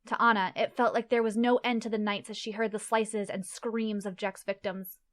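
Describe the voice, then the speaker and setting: A woman's voice: mid-range voice, flat delivery, normal speed. A woman reading scripted narration for a fantasy story as its narrator.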